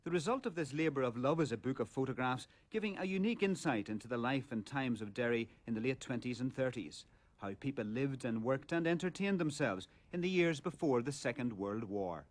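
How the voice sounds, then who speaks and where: A man's voice: medium pitch, animated, brisk. A man reading scripted narration for a television news report, as a reporter. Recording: archive TV report with clear background noise.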